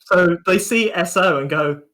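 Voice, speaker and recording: A man's voice: high voice, measured pace, bright, forceful tone. Young man, casual co-host chat. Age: young adult. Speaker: student radio co-host.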